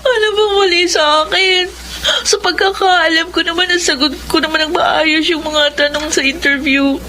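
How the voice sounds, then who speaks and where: A bright young graduate with a high, light voice, chasing her dream job in a radio drama. She speaks politely and earnestly. Steady noise sits under the recording. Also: moderate pace. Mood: tearful. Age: 20s. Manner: earnest and polite, breaking into tears. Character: young woman fresh graduate, hopeful aspiring radio DJ. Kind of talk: Filipino radio drama acting.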